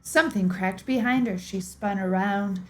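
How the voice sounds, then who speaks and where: A woman's voice: mid-range voice, normal speed, darkish tone. Woman reading dramatically over music. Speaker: streamer. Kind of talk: dramatic reading of game lore.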